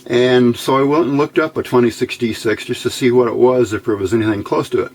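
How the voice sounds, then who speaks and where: Gravelly man thinking aloud at a workbench. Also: mid-range voice, fairly even intonation, a little fast, a little mellow. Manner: informal, thinking aloud in short phrases. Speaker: electronics hobbyist presenter. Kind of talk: casual hands-on demonstration. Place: indoors at a workbench. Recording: noisy home-video recording.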